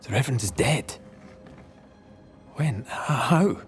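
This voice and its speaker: A man's voice: medium pitch, animated, slow, soft background music. An actor playing a plain-spoken, loyal male ghost hunter's assistant in a game, with dry, understated delivery. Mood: shaken.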